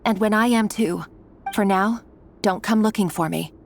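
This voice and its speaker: An actress playing an earnest, secretive and protective young woman in a video game, measured and resolute over background music. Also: medium pitch, slight background noise, lightly acted. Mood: earnest, guarded. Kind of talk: scripted video game voice acting. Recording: game dialogue with music underneath.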